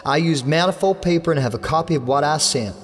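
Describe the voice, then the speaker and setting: A man's voice: a little high, fast talker, breathy edge, in a large hall, voice pushed up. An English man playing a young male writer giving touchy, clipped answers at an inquest. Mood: defensive. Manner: hot, clipped replies.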